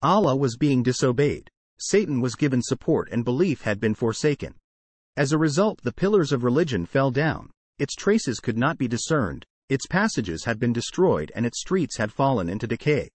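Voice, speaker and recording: A man's voice: medium pitch, sing-song, moderate pace, no room sound. Man solemnly reading a sermon aloud. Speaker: sermon reader. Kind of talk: solemn scripted narration over slideshow.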